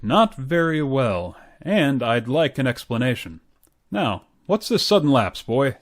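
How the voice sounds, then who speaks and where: A man's voice: medium pitch, very animated, measured pace. A man playing a sarcastic, pompous boss in an old-time radio comedy. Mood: sardonic, scolding. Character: sardonic, blustery boss who calls employee "boy".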